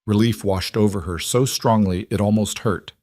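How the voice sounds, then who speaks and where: A man narrating a scripted military drama story in a steady, measured way. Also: on the low side, no echo, studio quality.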